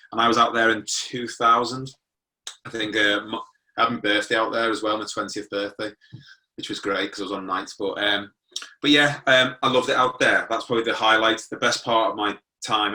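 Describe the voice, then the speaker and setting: A man's voice: on the low side, sharp tone, far from the mic, poor audio. A British man in his forties telling his own life story informally as an interview guest. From born in Germany, moved to the UK at nine. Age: 40s. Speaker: interview guest, motivational speaker.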